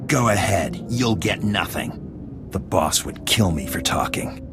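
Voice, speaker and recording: A man playing a surly, snarling crime syndicate thug in a video game, with a gravelly voice. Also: deep voice, expressive, measured pace. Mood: defiant. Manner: defiant, snarling. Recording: music playing behind.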